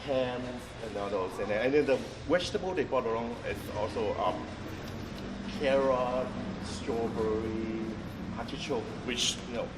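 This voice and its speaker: Halting man guiding a tour. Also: mid-range voice. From Vietnam. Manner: halting, many restarts and fillers, thinks aloud while explaining. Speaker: chef and tour guide. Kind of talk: informal guided-tour talk. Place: outdoors on a busy street near a market. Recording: distant, echoey street recording with traffic noise.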